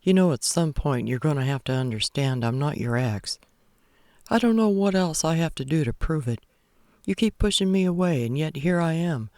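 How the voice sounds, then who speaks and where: A man's voice: on the high side, lively intonation, moderate pace, darkish tone, dry recording, clean studio sound, voice pushed up. A man voicing an earnest, devoted young cowboy groom in a romance novel. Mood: frustrated, insistent.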